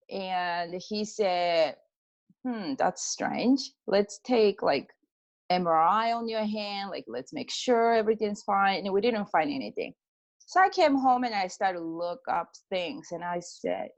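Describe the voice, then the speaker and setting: A middle-aged woman from Japan with a Japanese accent, telling personal stories casually and informally as an interview guest. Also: mid-range voice. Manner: informal, peppers talk with "like" and acts out quoted exchanges. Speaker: guest, pianist and energy healer.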